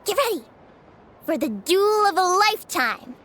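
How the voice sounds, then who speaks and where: A woman voicing a small, cheeky, excitable childlike fairy companion in a video game, with a squeaky voice. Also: a little slow, slight background noise, full character voice. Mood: boisterous, challenging. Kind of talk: video game character acting. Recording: music behind the voice.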